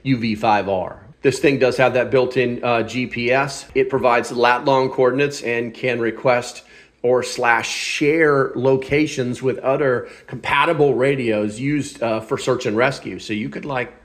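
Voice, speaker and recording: Male product reviewer, mid-pitched voice, informal and opinionated, relaxed hands-on delivery for an online channel. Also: measured pace. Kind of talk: casual hands-on gear review.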